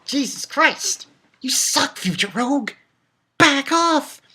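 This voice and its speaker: A person giving a casual, ranting manga review in a blunt, mocking, crude way with animated delivery. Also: sits high, takes it slowly.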